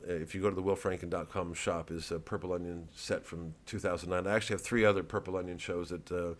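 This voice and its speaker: A man with an American accent and a lowish voice delivers a casual comedian's monologue. He wanders from one aside to the next and swears freely, as if chatting straight to his followers. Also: fairly even intonation, quick pace. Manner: rambling, digressive, profane. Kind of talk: casual vlog monologue to fans.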